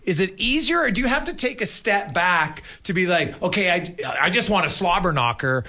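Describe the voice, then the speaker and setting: A man's voice: high voice, expressive, moderate pace, sharp tone. A man, chatting casually and in a rambling, opinionated way as a sports interviewer. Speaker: sports radio host and interviewer.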